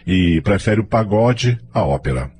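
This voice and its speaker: Guy playing a proud brazilian dad politician, lowish voice that swings a lot, radio drama, bit of noise. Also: animated, unhurried, a little mellow. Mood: persuasive, authoritative. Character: self-assured politician father, middle-aged, persuasive and proud.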